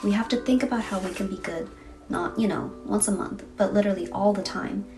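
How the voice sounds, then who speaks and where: A woman's voice: medium pitch, a little fast. A young woman in a casual diary vlog, talking in a tired, chatty, self-deprecating way. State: hoarse, tired voice. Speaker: vlogger. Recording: distant mic with loud music behind.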